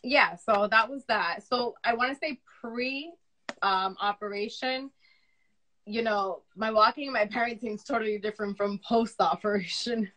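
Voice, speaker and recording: A woman, young to middle-aged, with a loud voice, chats casually and candidly as an interview guest, repeating phrases for emphasis. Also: on the high side, normal speed, rough recording. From Dominican upbringing. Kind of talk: casual personal conversation. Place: remote live video chat.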